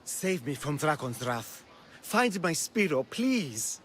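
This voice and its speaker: A man's voice: a little high, big pitch swings, slow pace, fairly bright tone, a little hiss or hum, exaggerated voice. An actor playing an anxious, sentimental male oil merchant in a video game, with a pleading, effusive delivery. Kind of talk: dramatic video game dialogue.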